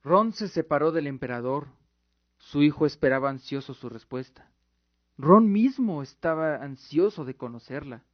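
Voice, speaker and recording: A man's voice: fairly high pitch, animated, moderate pace, dry recording. A man giving dramatic scripted narration as the narrator of an audio drama. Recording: dull lo-fi recording with music. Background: music.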